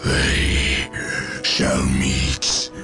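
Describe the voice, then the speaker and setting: An actor playing an ancient, cold and menacing undead machine overlord in a video game, speaking in slow, solemn incantation. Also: male voice. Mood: cold menace. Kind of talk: video game villain acting. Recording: loud music over the voice.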